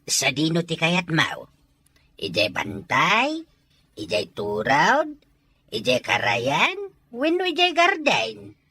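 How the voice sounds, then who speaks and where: A woman playing a teasing, hospitable older country man in an audio drama, with a drawn-out, coaxing delivery. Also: fairly low pitch, wildly swooping pitch, pushed and bright, slightly rough, full character voice. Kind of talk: Ilocano audio drama acting.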